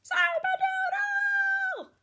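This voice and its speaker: A woman voicing an exuberant young schoolgirl who talks far too loudly in a storybook. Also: very high-pitched, flat delivery, very slow, very noisy, voice pushed up, exaggerated voice. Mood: shouting. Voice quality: loud, shouty.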